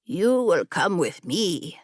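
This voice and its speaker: Proud, solemn male wolf for a storybook fable voiced by a woman, lowered tone, formal and unhurried. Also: sing-song, darkish tone, breathy edge, no echo, clean studio sound, exaggerated voice. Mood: solemn resolve. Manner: grave, formal, deliberate.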